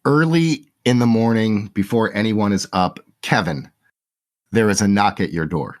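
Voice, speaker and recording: A man's voice: fairly low pitch, normal speed. A man narrating and refereeing a tabletop role-playing game in an easygoing way. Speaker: game master.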